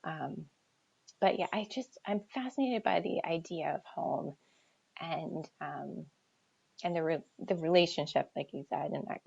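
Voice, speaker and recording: An American woman chatting casually as an author on a livestream Q&A, thinking aloud in a halting, reflective way and often trailing off. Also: low voice, creaky, darkish tone, husky. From New Hampshire seacoast, United States. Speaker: author answering audience questions. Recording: dull lo-fi livestream audio.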